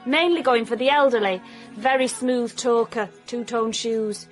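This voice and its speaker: An actress playing a cheeky young detective's assistant in a radio comedy-drama, full of pert, sarcastic banter. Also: female voice, a little high, a little slow, faint music behind, lightly acted. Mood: keen.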